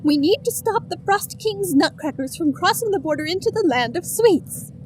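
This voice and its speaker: A woman's voice: very high voice, expressive, normal speed, darkish tone, slight background noise, low-quality recording. A woman playing a kindly, earnest sugar plum fairy in an audio drama, with a sweet manner. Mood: pleading. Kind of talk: amateur audio drama acting.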